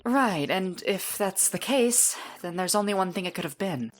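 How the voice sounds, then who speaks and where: A woman playing a composed, sharp-minded young character in an audio drama, laying out deductions in a measured manner. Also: medium pitch, animated, soft background music, close to an everyday voice. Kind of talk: anime-style audio drama.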